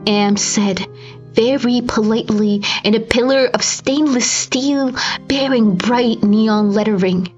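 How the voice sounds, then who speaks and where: A high-pitched woman narrates a horror story with theatrical intensity. Her delivery is dramatic and scripted, with music playing underneath. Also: slow, a little mellow, muffled recording. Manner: intense, theatrical, with breathy whispers and strained cries. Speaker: amateur audiobook reader.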